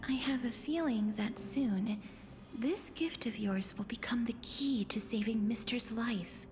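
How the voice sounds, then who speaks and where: A woman playing a gentle, wistful young noblewoman in a video game, speaking softly and formally with a breathy tenderness. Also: fairly high pitch, a little slow, natural voice. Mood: solemn, grateful. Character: gentle, wistful, soft-spoken young noblewoman, quietly earnest. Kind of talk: video game voice acting, reflective monologue. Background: game music.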